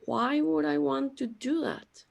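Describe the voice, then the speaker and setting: Woman as a high, creaky, bewildered immortal being. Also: unhurried, full character voice. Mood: bewildered, peevish. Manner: questioning, puzzled. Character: bewildered, peevish immortal being with lost memory. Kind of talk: scripted audio drama acting.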